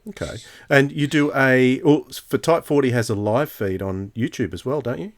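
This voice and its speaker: Mid-pitched man interviewing casually. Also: moderate pace. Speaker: podcast host, interviewer.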